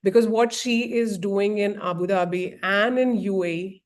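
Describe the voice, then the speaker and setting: A woman's voice: medium pitch, fairly even intonation, normal speed. Earnest woman moderating a webinar. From India. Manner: earnest, rambling with frequent "like".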